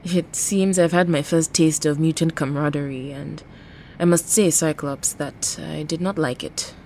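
A woman voices an aloof, regal superheroine in an audio drama. Her low voice is formal and cool. A faint rumble sits behind it. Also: steady tone, normal speed, darkish tone. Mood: disapproving.

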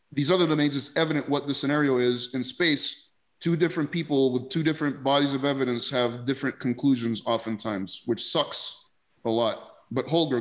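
A man in his forties hosting a panel over a video call, emphatic and casual, often repeating words. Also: mid-range voice. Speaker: host. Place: indoors, dark virtual background. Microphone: headset earphones on video call.

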